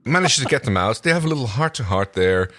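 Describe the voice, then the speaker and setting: A man's voice: mid-range voice, lively intonation, fast, fairly bright tone. A man co-hosting a podcast, casually recapping a television episode. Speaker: podcast co-host.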